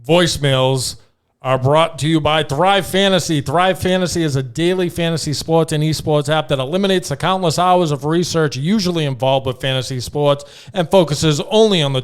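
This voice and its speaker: A man's voice: fairly high pitch, smooth. A man co-hosting a podcast, reading out a sponsor ad script. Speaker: podcast co-host.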